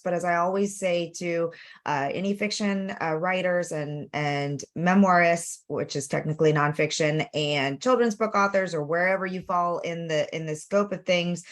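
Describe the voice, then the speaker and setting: Upbeat woman hosting a webinar, low voice. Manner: chatty, upbeat, with frequent fillers and restarts. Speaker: webinar host. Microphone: video call.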